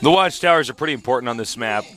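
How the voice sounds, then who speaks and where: A man doing excited, jokey play-by-play commentary on a video game match. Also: medium pitch, very fast. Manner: excitable, jokey, laughs often. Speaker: esports caster.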